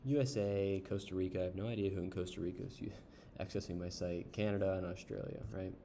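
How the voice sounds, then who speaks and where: A man casually reviewing an online service while browsing its pages, unhurried, with frequent ums and uhs. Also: low voice, steady tone, warm tone, slight background noise. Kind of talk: casual screen-share review.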